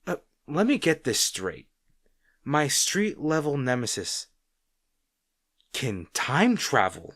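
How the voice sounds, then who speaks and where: A man's voice: mid-range voice, huge pitch swings, slow, pauses often, fairly bright tone, no echo, studio quality, heavily acted. A man playing a wry, insecure, secretly fond supervillain rival in a roleplay audio drama, talking to the listener in a hesitant, conversational, sardonic way. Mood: incredulous.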